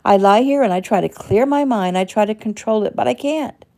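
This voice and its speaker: A woman voicing a traumatized young woman who shouts in rage and breaks toward tears. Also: mid-range voice, a little fast, voice pushed up, close to an everyday voice. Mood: furious.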